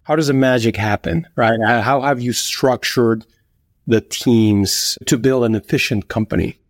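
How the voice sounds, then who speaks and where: A man's voice: mid-range voice, unhurried, no echo, studio quality. Curious male podcast host. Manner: curious, conversational. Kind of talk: interview questions.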